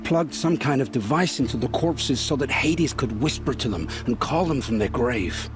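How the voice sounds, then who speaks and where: An actor voicing a haunted, grim male scavenger who tells dark stories with intensity, in a video game. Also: mid-range voice, animated, moderate pace, music in the background, lightly acted. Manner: intense, dramatic storytelling.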